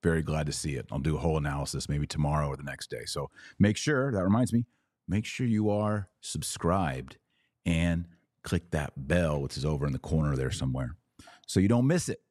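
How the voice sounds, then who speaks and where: A man hosting an opinionated commentary show, speaking emphatically and indignantly, with stressed pauses on key words. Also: a bass voice, a little mellow, dry recording, clean studio sound. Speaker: podcast host and commentator. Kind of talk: opinionated news commentary to viewers.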